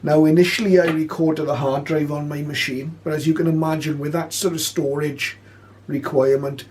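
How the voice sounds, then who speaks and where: A man with a slightly high voice presents an informal tutorial. He explains things step by step, pausing hesitantly as he goes. There is faint noise behind his voice. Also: steady tone, darkish tone. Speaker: presenter of a shortwave radio tutorial.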